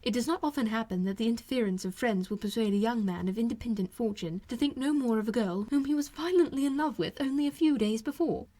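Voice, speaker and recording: Woman reading as a spirited, witty young gentlewoman. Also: a little high, fast talker, hardly any pauses, natural voice. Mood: ironic, spirited. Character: lively, witty young gentlewoman, ironic and emphatic. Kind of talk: audiobook character voice.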